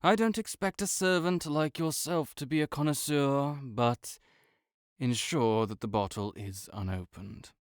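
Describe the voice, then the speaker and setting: A man's voice: medium pitch, measured pace, dry recording, studio quality, natural voice. A man playing a proud, sardonic invalid nobleman in an audio roleplay, speaking with disdainful, formal, old-fashioned diction. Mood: curt, suspicious. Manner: haughty, archaic formal diction, disdainful drawl. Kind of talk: scripted audio roleplay monologue.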